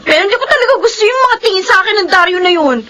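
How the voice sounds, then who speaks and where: An indignant young Filipino wife in a TV drama. Her voice is extremely high and bright, complaining yet respectful. Faint noise sits behind her. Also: expressive, very fast, full character voice. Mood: annoyed. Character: annoyed, respectful young married woman. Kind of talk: Filipino television drama acting.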